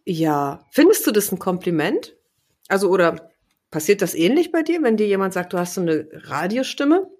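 A woman co-hosting a podcast in relaxed chat, lively and teasing, laughing often. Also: mid-range voice, normal speed, breathy edge. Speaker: podcast co-host. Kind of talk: casual chat.

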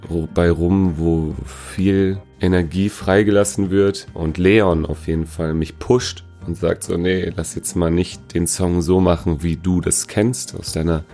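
A man's voice: sits low, steady tone, a little slow, few pauses, mellow, soft background music. A man working as a musician answers interview questions in a casual, slangy, joking and teasing way. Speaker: musician and sound designer being interviewed.

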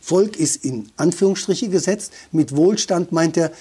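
Husky man explaining with conviction. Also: fairly high pitch, a little slow, dark tone. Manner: thoughtful, opinionated, restarts often. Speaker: dialect expert guest. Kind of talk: conversational explanation.

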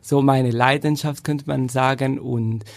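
A man from Italy speaking German with an Italian accent, a cellist talking about his path, pausing often with fillers. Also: medium pitch, fairly even intonation, vocal fry. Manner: hesitant, many fillers. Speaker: cellist and festival founder. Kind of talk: casual podcast conversation.

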